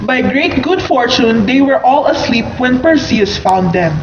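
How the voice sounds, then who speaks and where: A woman's voice: high voice, animated, unhurried, vocal fry, over music. A woman narrator reading a scripted story for an amateur radio play. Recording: muffled, echoey room with background noise.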